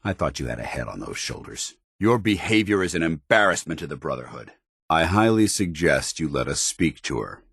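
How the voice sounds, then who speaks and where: An American actor playing a stern, principled military paladin in a video game, with clipped, formal, authoritative diction. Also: male voice, rumbling low, very animated, fairly quick, creaky, fairly bright tone, breathy edge, no echo, studio quality, full character voice. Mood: stern, indignant. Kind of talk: video game companion dialogue.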